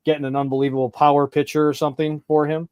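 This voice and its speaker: A man's voice: fairly high pitch, little pitch movement, quick pace, a little mellow, clean tone, no echo, clean studio sound. A man co-hosting a sports podcast with casual, conversational banter, often prodding and questioning.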